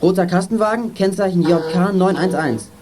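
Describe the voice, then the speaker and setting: A man's voice: sits high, brisk, natural voice. A young male actor playing an earnest, assertive teenage boy detective in a children's radio play. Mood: urgent, explaining. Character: plucky teenage boy detective, bossy and outspoken. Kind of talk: 1980s German children's radio play acting.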